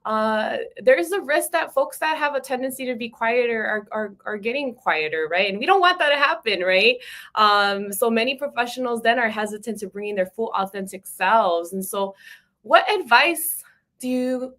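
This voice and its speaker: A woman's voice: fairly high pitch, animated, moderate pace, fairly bright tone. A woman hosting an online interview, talking conversationally with frequent restarts and asides like "right?". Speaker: webinar host.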